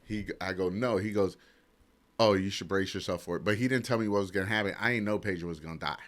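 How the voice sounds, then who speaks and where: A man's voice: deep voice, quick pace, fairly bright tone. A middle-aged man co-hosting a podcast, talking in a casual, conversational way. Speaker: podcast co-host. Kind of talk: casual chat.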